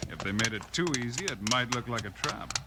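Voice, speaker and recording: An actor playing a steady, decisive western lawman with an American accent in a 1950s radio drama. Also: mid-range voice, brisk, close to an everyday voice. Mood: wary. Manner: plain, commanding, level-headed. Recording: reverberant, crackly 1950s radio recording.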